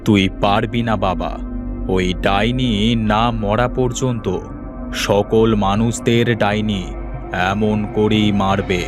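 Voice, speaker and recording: A man voicing a kindly old tantric hermit in a cartoon story, solemn, knowing and measured. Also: on the low side, steady tone, over music. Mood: grave warning. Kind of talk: Bengali cartoon story dub, dramatic.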